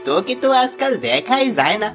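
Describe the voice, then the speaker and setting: A man's voice: high-pitched, very animated, fairly quick, a bit bright, faint music behind. A man voicing a sly, smug middle-aged village swindler in a cartoon fable, with a wheedling, theatrical delivery. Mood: flattering, coaxing. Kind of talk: Bengali cartoon fable voice acting.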